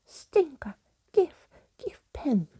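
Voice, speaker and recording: A woman's voice: high-pitched, very animated, drawn-out words, mellow, dry recording, muffled recording, voice pushed up, heavily acted. A woman voicing a whiny, desperate ghost who pleads and demands in childish broken phrases for a storybook. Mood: angry, demanding.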